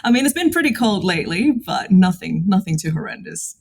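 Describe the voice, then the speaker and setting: A woman's voice: mid-range voice, very animated, brisk, gravelly, a little mellow. A woman in her thirties from Indonesia, chatting casually as an interview guest. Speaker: podcast guest, psychologist.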